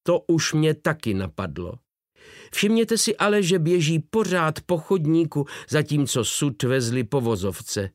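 A man reading an audiobook voices a shrewd, self-assured middle-aged detective with a crisp manner. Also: on the high side, very animated, no room sound, clean studio sound, voice pushed up. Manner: crisp, assured, sly charm. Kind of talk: audiobook character voice.

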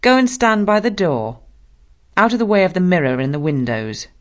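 A woman's voice: on the low side, sing-song, normal speed. A woman doing a sneering spoiled bully boy. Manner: sneering, contemptuous.

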